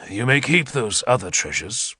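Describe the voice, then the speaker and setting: A man's voice: mid-range voice, big pitch swings, moderate pace, sharp tone, slightly rough, no room sound, clean studio sound, heavily acted. A man voicing a stern, sardonic warlord with a curt, authoritative manner in an audiobook story. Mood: coldly sardonic. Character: stern, dry male warlord in command.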